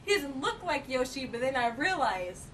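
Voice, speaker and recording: A woman's voice: big pitch swings, moderate pace, a bit bright. A woman co-hosting a casual, chatty review conversation. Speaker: co-host reviewing films. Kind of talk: casual chat. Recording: distant mic, faint noise and rumble.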